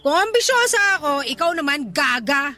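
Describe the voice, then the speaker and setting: An actress with a Filipino accent playing a sassy, ambitious, restless young resort worker in a radio drama. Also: female voice, high voice, very animated, normal speed, over music. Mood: defiant, boastful. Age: young adult. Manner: sassy, complaining, colloquial banter. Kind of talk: Tagalog radio drama dialogue.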